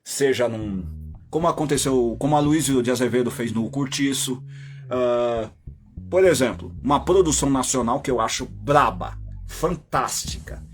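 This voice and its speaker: A Brazilian man hosting a podcast, delivering an opinionated monologue straight to his listeners and jumping into high mocking voices when he quotes people. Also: normal speed, faint music behind. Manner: addresses listeners directly as "my dear". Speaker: podcast host.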